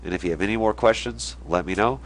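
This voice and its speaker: Young male host, deep low voice, congested from a cold, casual explainer delivery. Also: fast. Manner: conversational, drawing on a board while explaining. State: stuffy nose from a cold. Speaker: online marketing video host.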